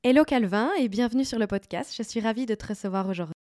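A woman's voice: a little high, fast. Chatty woman hosting a podcast. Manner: chatty, soft questioning with fillers. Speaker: podcast host. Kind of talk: casual interview chat.

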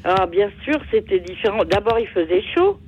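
A muffled call on the radio from an older woman with a mid-pitched voice. She reminisces casually and haltingly, wandering from one detail to the next. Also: lively intonation, a little mellow, slight background noise. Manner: digressive, halting. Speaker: radio phone-in caller.

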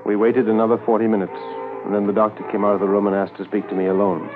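A man's voice: fairly low pitch, level tone, natural voice. An actor playing a sombre, businesslike police inspector narrating his case tersely in a 1950s radio drama. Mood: grave, subdued. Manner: terse, measured, matter-of-fact. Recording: muffled 1950s radio transcription, faint music.

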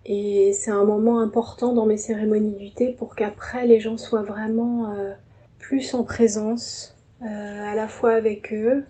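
A Parisian-born woman, the guest, calmly sharing personal reflections, soft-spoken and pausing often with fillers. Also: medium pitch, poor audio. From France. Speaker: tea ceremony host and guest.

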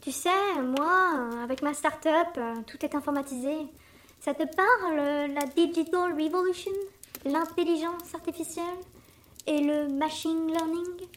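Smug high-voiced young start-up son. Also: male voice, steady tone, measured pace, fairly bright tone. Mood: smug, enthusiastic. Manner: smug, jargon-laden, salesman-like patter. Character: Santa's smug young adult son, eager tech start-up boss. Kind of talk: comedic audio fiction acting.

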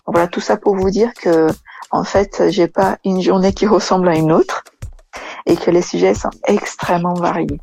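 A woman's voice: on the low side, fairly even intonation, normal speed, vocal fry, warm tone, breathy edge, faint music behind. A woman from Brazil, an engineering manager answering interview questions hesitantly with many fillers and restarts.

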